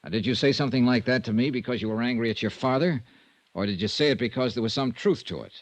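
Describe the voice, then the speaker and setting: An actor playing a self-assured insurance investigator in a 1950s radio detective drama, speaking in a clipped, dry, sardonic way. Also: male voice, medium pitch, quick pace, no echo, close to an everyday voice. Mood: pressing, impatient. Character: hard-boiled wry male insurance investigator, self-assured. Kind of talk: 1950s radio detective drama acting and first-person narration.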